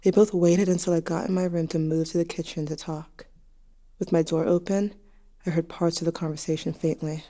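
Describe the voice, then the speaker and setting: A young woman plays a grieving teenager with a slightly low, mellow voice. The delivery is intimate and confessional, with a wry, anxious edge, like diary entries narrated in an audio drama. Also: steady tone, a little fast, lightly acted. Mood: subdued, tender. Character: grieving, anxious teenager writing to a dead sibling.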